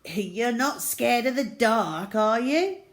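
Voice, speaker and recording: A woman's voice: a little high, lively intonation, slow pace, pitched above the performer's own voice. A woman voicing a mischievous, cocky young boy from a children's storybook, mocking and falsely innocent. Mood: feigned innocence.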